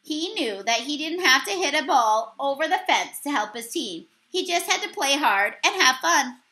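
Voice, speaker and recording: A young woman reads a children's story aloud in a very high, animated voice. Her delivery is expressive storytelling with a lively melody, recorded at desk distance indoors. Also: moderate pace. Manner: animated storyteller with exclaimed dialogue. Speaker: teacher reading aloud. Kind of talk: expressive picture-book read-aloud. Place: small indoor room.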